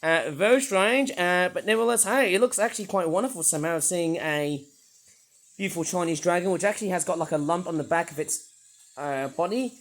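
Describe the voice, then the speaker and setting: British male toy reviewer, high croaky voice, rambly and enthusiastic, lots of "uh"s. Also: brisk, fairly bright tone. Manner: rambling, enthusiastic, many hesitations.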